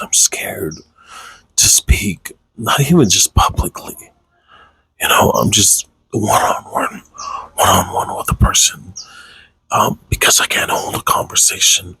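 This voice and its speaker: Husky, slow-talking, low-voiced man. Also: sharp tone, dry recording, low-quality recording. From United States, raised Tongan American. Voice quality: husky, breathy. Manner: halting, hesitant, reflective. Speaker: content creator, father. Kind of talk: personal confessional vlog.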